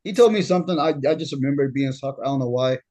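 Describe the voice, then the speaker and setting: A young man with a deep voice hosting a casual podcast chat, often stammering and repeating words as he tells stories. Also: animated, racing, darkish tone. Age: young adult. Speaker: podcast host.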